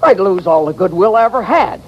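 Hot-tempered, high-pitched Old West saloon keeper. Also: male voice, expressive, brisk, highs cut off. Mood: miserable. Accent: American. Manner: blustering, folksy, exasperated drawl with rustic grammar. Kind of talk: old-time radio western acting. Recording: vintage radio recording with hiss.

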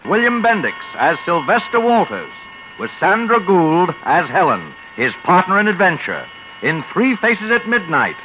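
Casting: theatrical male announcer, lively high voice, muffled 1940s radio recording with blaring music underneath. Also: moderate pace. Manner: theatrical, portentous.

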